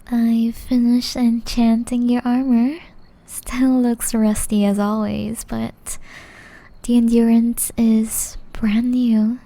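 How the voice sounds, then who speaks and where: A woman playing a gentle, caring, centuries-old witch in an ASMR roleplay, speaking softly and breathily, often in a whisper, with tender teasing. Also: on the high side, rough recording. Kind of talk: ASMR roleplay, intimate scripted acting.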